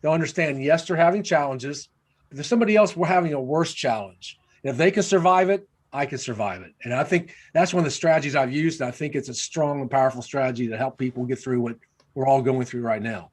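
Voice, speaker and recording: A man's voice: medium pitch, quick pace. A man speaking earnestly as a panelist on a video call, with frequent restarts. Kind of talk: panel discussion.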